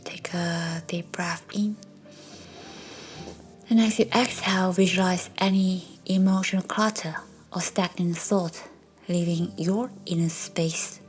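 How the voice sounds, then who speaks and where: A woman narrating a guided meditation in a soft, breathy, soothing way, as a meditation guide. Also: lowish voice, fairly even intonation, slow. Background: soft background music.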